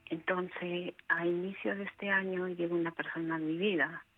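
A woman with a Peruvian accent calling a radio show, telling her personal story in a hesitant, halting way. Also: fairly low pitch, little pitch movement. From Peru. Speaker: radio caller. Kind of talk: personal account told on air. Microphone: telephone. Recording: band-limited phone call to radio.

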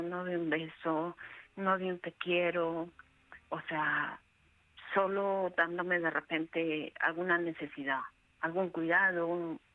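A woman with a Peruvian accent calling a radio show, telling her personal story in a hesitant, halting way. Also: lowish voice. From Peru. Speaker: radio caller. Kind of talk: personal account told on air. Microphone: telephone. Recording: band-limited phone call to radio.

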